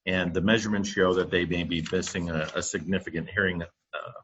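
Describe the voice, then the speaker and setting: A man gives a presentation into his computer microphone, his voice low-pitched yet bright, with a breathy, husky edge. He pauses often with fillers and restarts his sentences. The sound is dull and lo-fi. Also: fairly even intonation, a little hiss or hum. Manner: halting, many fillers and restarts. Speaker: company presenter on classroom audio. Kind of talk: webinar presentation.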